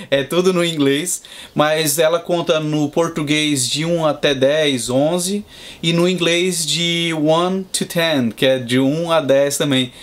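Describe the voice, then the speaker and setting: Man from Brazil, thirties, fairly high voice, calm explaining, casual interview. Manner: measured, explanatory, with fillers. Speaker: guest, Brazilian father in Boston.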